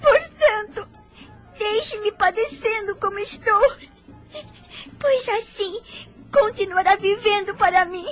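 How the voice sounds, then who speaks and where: Very high-voiced, fragile orphan girl. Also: takes it slowly, pauses often. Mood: tearful, pleading. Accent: Brazilian. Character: tender, fragile, grateful poor young orphan girl. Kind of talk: radio drama acting. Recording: music under the voice.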